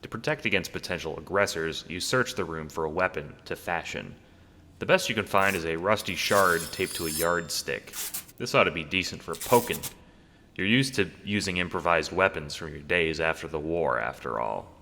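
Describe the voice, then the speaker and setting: A man's voice: sits low, expressive, moderate pace, sharp tone, long reverb tail, a little hiss or hum. A man narrating a scripted audio drama as a wry, theatrical storyteller addressing the listener.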